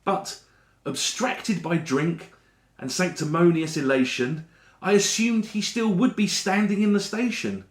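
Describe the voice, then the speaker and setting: A man reads a dramatic rhyming monologue in a slightly high voice. His storytelling is theatrical and deliberate, and the room adds a clear echo around him. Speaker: actor reading a monologue.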